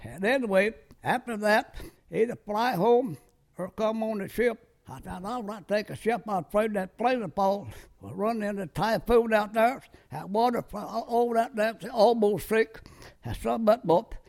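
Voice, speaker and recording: An elderly American man in his nineties speaks with a heavily creaky voice, pitched high for a man. He recounts his past like an old veteran giving an oral history, in loose, clipped, folksy sentences that wander along. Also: moderate pace, a little mellow. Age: very old, 90s. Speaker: war veteran being interviewed.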